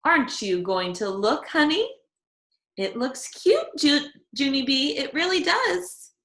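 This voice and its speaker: A woman voicing a kindly, patient grandfather in a children's storybook, coaxing and gently reassuring. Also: sits high, sing-song, slow pace, echoey room, voice pushed up, exaggerated voice.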